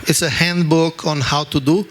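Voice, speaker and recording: A man from Italy with an Italian accent, giving a scripture class in an animated, self-deprecating way, often checking in with "okay?". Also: a little high, normal speed, a little hiss or hum. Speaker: spiritual teacher.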